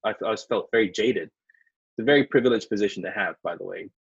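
A man from Sri Lanka, a consultant and author, telling his story in a relaxed, reflective way as a guest over a video call from his home studio. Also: fairly low pitch, brisk, vocal fry, breathy edge, dry recording, lo-fi sound.

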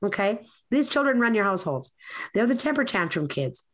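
A raspy, hoarse woman hosts her podcast, talking through advice in an earnest, meandering way. Her pitch sits in a middling range. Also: sing-song, fairly quick, fairly bright tone. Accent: Canadian. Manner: earnest, lecturing, rambling. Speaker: podcast host. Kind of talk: casual advice talk.

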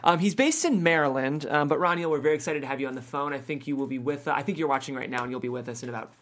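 A woman's voice: sits low, very fast. A woman hosting a script table read and reading out the stage directions.

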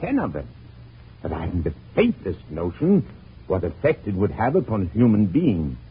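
An actor playing a driven, troubled middle-aged French scientist in a 1940s radio drama, halting and brooding. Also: male voice, lowish voice, huge pitch swings. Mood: anguished. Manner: halting, brooding, theatrical delivery. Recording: muffled narrow-band old radio recording, hiss.

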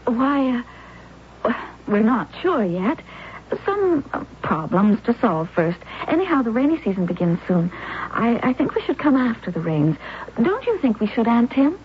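A woman's voice: a little high, very animated, a little slow, slight background noise. An actress playing a young wife who narrates her own scheme, hesitant and wistful, in a 1970s radio drama. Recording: dull old radio recording with music.

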